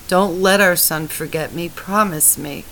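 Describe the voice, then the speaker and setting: A woman voicing a weak, dying husband who speaks softly and tenderly, his voice fading. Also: lowish voice, measured pace, poor audio. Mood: pleading. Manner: soft, faltering, fading. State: weak and tired.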